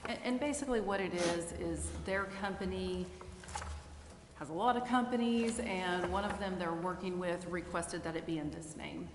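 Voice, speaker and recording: A woman's voice: lowish voice, normal speed. A woman explaining informally and matter-of-factly as an official advising a council meeting. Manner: matter-of-fact. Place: council chamber. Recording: echoey hall, clear background noise.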